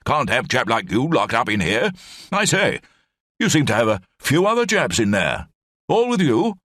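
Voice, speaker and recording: A man's voice: medium pitch, wildly swooping pitch, moderate pace, a bit bright, no echo, clean studio sound, voice pushed up. A man voicing an elderly, bumbling brigadier with clipped, rambling upper-class speech. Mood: jolly.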